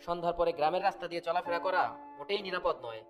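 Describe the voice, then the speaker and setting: A man's voice: high voice, fairly even intonation, racing, close to an everyday voice. A man playing a caring, bossy young friend in a cartoon, instructing in a familiar way. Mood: concerned. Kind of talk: cartoon voice acting. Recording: prominent music behind the voice.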